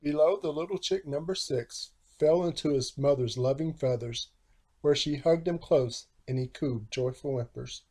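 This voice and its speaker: A man reading a children's storybook aloud in a theatrical, animated style with rhyming phrasing. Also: a little high, normal speed. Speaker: storybook narrator, author.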